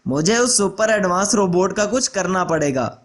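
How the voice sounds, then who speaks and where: Man as a high-voiced jealous rich villager. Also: animated, moderate pace. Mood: jealous, scheming. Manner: scheming.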